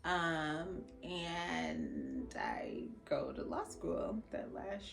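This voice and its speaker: A young adult woman, a lawyer from Los Angeles whose first language was Jamaican Patois, tells her own story in a casual, expressive way and acts out the lines of people she quotes. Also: sits low, very slow, creaky. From Los Angeles, California. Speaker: attorney. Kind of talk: personal storytelling.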